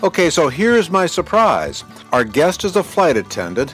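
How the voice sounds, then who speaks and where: Lively man in his sixties hosting. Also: on the high side, moderate pace, faint music behind. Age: 60s. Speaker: podcast host. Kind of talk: podcast interview.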